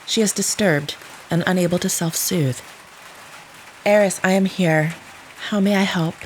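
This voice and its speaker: A woman's voice: on the low side, unhurried, lightly acted, low-quality recording. Casting: actress playing formal, literal-minded woman caregiver, stilted and exact diction, audio drama, faint rain behind. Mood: formal, concerned, then gentle, concerned. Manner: stilted, precise, clinical phrasing without contractions. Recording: steady rain noise behind the voice.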